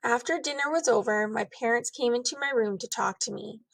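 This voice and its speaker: A woman reading a children's storybook aloud as an expressive narrator. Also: on the high side, brisk, creaky, no echo. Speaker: storybook reader.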